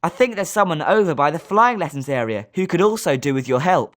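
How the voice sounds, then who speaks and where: Young British schoolboy wizard. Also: male voice, a little high, lively intonation, brisk, a bit bright. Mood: eager. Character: schoolboy wizard student, polite but sometimes sneering. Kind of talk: scripted video game character lines.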